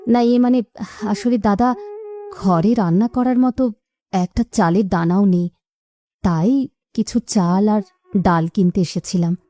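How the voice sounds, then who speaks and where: A woman's voice: medium pitch, unhurried, long pauses, mellow, lightly acted. A woman playing a weary, plaintive widowed village mother in a cartoon. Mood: meek, hesitant. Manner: plaintive, careworn. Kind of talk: cartoon voice acting.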